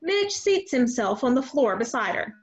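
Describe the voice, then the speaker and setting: A woman's voice: high voice, big pitch swings, moderate pace. A woman reading stage directions as scripted narration for a play reading, on a dull online recording. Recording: dull lo-fi online call sound.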